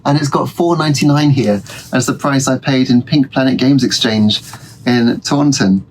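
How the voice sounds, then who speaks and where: A man's voice: medium pitch, moderate pace, a little hiss or hum, rough recording. A man, stuffy from a cold, chatting casually through a game as host of his retro gaming channel, rambling with frequent ums and restarts. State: congested from a cold. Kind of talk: casual let's-play commentary. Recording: game music playing behind.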